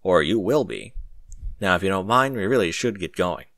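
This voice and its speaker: A man's voice: sits low, lively intonation, moderate pace, fairly bright tone, no room sound, clean studio sound. A man voicing a cool, sly, proud army captain in a story, speaking in a matter-of-fact way.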